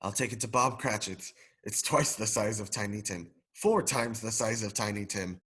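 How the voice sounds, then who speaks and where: A man's voice: on the low side, moderate pace, fairly bright tone, breathy edge. A male drama student playing an elderly reformed miser, joyful and repentant, in a radio play. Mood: giddy, excited.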